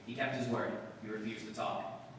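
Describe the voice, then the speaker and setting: A man's voice: medium pitch, moderate pace, natural voice. A man playing a terse, no-nonsense police detective who narrates his cases in a clipped 1950s radio drama style. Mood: deadpan. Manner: terse, deadpan, matter-of-fact. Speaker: amateur radio-play actor. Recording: echoey hall from far off, faint noise.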